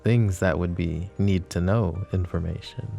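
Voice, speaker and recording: A man voicing a smug, flirtatious mafia boss who teases and taunts the woman questioning him, in soft-spoken roleplay ASMR. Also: sits low, fairly even intonation, unhurried, creaky, soft background music. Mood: smug teasing. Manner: teasing, taunting, calls her pet names.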